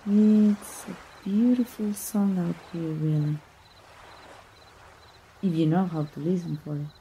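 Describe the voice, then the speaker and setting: Woman acting as young princess, Mexican accent, leisurely, very soft and mellow, gently teasing, bashful, ASMR romance roleplay, faint outdoor nature noise behind. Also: on the low side. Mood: serene, dreamy. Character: playful, tender, self-reliant young princess. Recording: noisy outdoor nature ambience.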